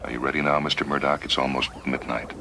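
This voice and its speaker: A man playing a calm, deferential robot servant in a radio story, measured, formal and soothing. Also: extremely low pitch, background noise, pitched below the performer's own voice. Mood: calm, dutiful.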